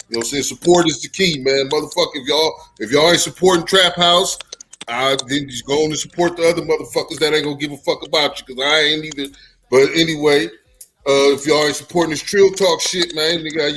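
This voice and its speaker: A man's voice: a little high, fairly bright tone, slight background noise. A man hosting a casual podcast, signing off in laid-back slang and repeating "you know what I'm saying". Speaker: podcast host.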